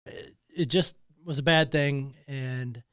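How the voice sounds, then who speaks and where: A man's voice: medium pitch, takes it slowly, a bit bright, clean tone, dry recording. A man talking alone in a podcast studio, emotional and emphatic, often repeating himself. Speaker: podcast host. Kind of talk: personal monologue.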